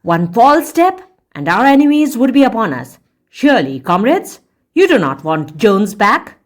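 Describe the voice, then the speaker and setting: A woman's voice: on the high side, big pitch swings, unhurried, voice pushed up. A woman voicing a sly, persuasive male pig from a storybook, urgent and rhetorical, repeating key words for effect. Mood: stern, urgent.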